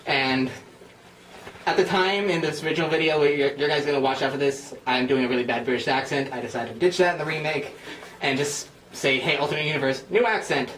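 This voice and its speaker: A man's voice: medium pitch, brisk, fairly bright tone. Casual man in a roomy, distant recording. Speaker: host of a script-reading session with friends. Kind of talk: casual chat and reading out stage directions. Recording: roomy, distant mic with faint noise.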